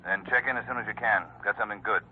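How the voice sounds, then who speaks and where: Fast-talking American detective chief. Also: male voice, on the low side, fairly even intonation, husky. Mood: brisk. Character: brisk, no-nonsense male chief of detectives. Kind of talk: 1950s radio crime drama acting, clipped. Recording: narrow, muffled old radio recording.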